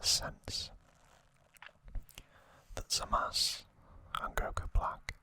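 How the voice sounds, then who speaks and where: An ASMR host narrating in a breathy, unvoiced whisper, slow and unhurried, close to the microphone. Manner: slow, unhurried, gently repeats words for effect. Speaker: host of an ASMR trivia channel. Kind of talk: whispered ASMR narration, summarizing an anime saga.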